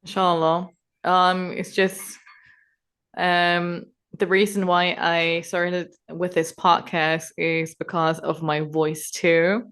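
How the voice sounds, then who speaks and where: A young woman hosting a podcast, with a voice that is deep for a woman, speaking softly and hesitantly with fillers. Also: little pitch movement, slow pace, smooth. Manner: soft-spoken, hesitant, with fillers. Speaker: podcast host. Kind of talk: casual interview talk.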